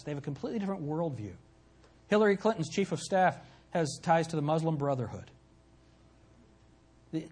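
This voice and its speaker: Husky-voiced emphatic preacher man. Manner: emphatic, rising to loud peaks then dropping low. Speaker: pastor. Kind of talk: sermon.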